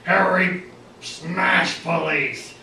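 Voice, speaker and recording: A man voicing a hulking, dim-witted super bully who bellows loudly in broken caveman-like sentences. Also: high-pitched, steady tone, extremely slow, creaky, background noise, voice pushed up, full character voice. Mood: menacing. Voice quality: loud, shouted. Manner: clipped caveman-like speech, dropping words. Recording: roomy, distant mic.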